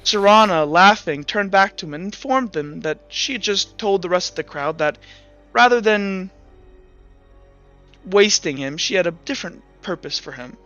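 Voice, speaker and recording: Male game master, bright, slightly high voice, rambling storyteller; lo-fi audio, faint music. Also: expressive, moderate pace. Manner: storytelling with frequent restarts and fillers. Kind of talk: narrating lore in a tabletop game. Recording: dull lo-fi sound with faint music.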